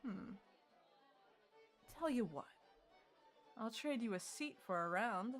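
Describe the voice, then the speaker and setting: A woman's voice: on the high side, expressive, slow. Wry winged adventurer woman, acted, music behind. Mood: wry, teasing. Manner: dry, teasing, nonchalant. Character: winged female Coatla adventurer, wry and unbothered tavern drinker. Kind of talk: audio roleplay drama acting.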